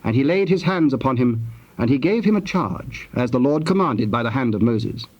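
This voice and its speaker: A man narrating scripture from a script in a measured, formal storytelling manner. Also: medium pitch, lively intonation, a little mellow. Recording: dull old tape, faint noise.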